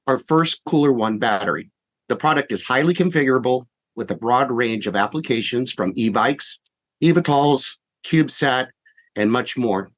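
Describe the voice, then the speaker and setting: A man with a medium-pitched voice presents as a company operations executive. He reads prepared remarks for an earnings call, formal and measured in his delivery. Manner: formal, measured reading from a script.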